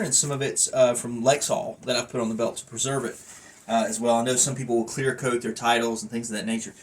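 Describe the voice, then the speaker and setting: A man talks through an item from his collection in a casual, unscripted way. He thinks aloud as he goes, rambling into side remarks. His voice sits at an ordinary middle pitch for a man. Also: slight background noise. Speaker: collector reviewing a wrestling belt. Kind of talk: casual unscripted product review.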